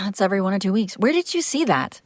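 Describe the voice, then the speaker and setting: A woman's voice: mid-range voice, sing-song, quick pace, no echo. A woman playing a female vampire master, an exasperated but protective caretaker, in a conversational, sardonic audio roleplay. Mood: flustered, defensive. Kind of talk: intimate audio roleplay acting, one side of a conversation.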